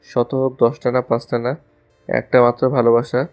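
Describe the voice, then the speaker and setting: A man's voice: medium pitch, flat delivery, moderate pace, darkish tone, soft background music, close to an everyday voice. A man playing a lovestruck, casual young college student in a romantic audio story. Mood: dreamy musing.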